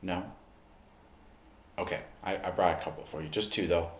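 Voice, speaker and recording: Deep-voiced man doing ASMR roleplay. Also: steady tone, normal speed, roomy sound, slight background noise. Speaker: ASMR performer playing a basketball recovery expert. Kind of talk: ASMR roleplay, talking to the listener.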